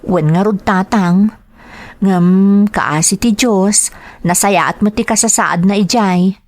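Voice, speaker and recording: An actress playing an eager, reassuring young wife and daughter who is house-proud, in a radio drama. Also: female voice, mid-range voice, slow, darkish tone, no room sound, natural voice. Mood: dutiful, eager. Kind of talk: Ilocano radio drama acting.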